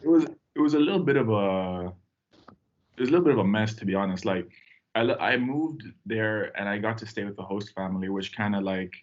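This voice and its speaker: A young man from Tanzania, a podcast guest, telling his story in a relaxed, rambling way with lots of fillers. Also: on the low side, fairly quick, muffled recording. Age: young adult. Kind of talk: casual podcast chat.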